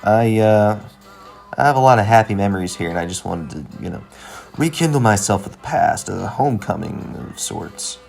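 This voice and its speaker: A man plays a brooding young man from an animated comedy-drama, forever wrapped in his own nostalgia. His voice sits low with a crackly fry, and he talks with hesitant, melodramatic sincerity over a music bed. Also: normal speed. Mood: earnest, wistful.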